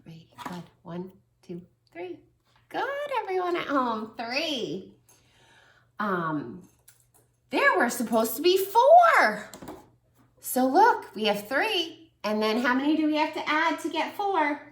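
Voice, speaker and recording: A woman teacher reading a picture book aloud to a small child, lively and encouraging. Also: high voice, slow pace, roomy sound. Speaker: preschool teacher. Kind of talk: children's read-aloud lesson.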